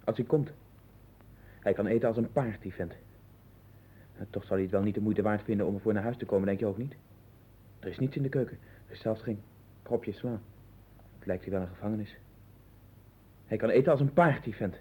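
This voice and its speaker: A man's voice: fairly low pitch, a little fast, long pauses, mellow, slightly rough. An actor playing a restless, obsessive man in a 1960s Dutch radio play, with a Netherlands accent and a rambling, repetitive, insistent delivery. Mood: brooding. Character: restless, obsessive man sinking into a strange inner world. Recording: tinny band-limited 1960s radio recording.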